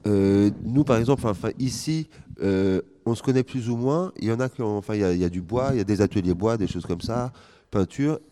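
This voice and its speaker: Man, low dark voice, enthusiastic, repeats himself. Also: fast talker. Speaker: interviewee, squat resident. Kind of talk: casual interview answer.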